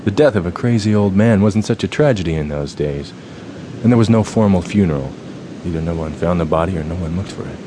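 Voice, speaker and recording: Man playing low, ominous fraternity ringleader. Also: noisy background, lightly acted. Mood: ominous, hushed. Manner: slow theatrical storytelling, commanding. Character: solemn, ominous fraternity leader telling ghost tales and giving orders. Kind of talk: dramatic radio play acting.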